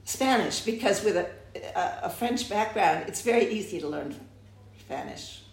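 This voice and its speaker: A woman's voice: mid-range voice, expressive, moderate pace, gravelly. An older woman from the United States, answering interview questions reflectively and often restarting her sentences. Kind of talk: oral history interview. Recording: echoey room.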